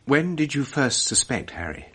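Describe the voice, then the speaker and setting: An actor playing an eager, curious gentleman questioning a detective in a radio drama. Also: medium pitch, very animated, normal speed, breathy edge. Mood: eager, surprised.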